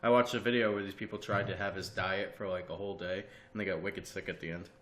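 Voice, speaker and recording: A man's voice: sits low, fairly even intonation, fast, fairly bright tone. A young man co-hosting a podcast in casual chat, cracking goofy jokes. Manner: jokey, goofy asides. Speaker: podcast co-host. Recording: echoey room with faint noise.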